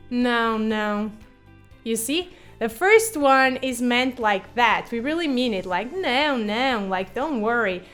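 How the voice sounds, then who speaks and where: A woman whose first language is Portuguese, teaching it in English with a slightly high voice. She explains in a friendly, patient way and repeats short example phrases. Soft music plays faintly behind her. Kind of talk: online language lesson.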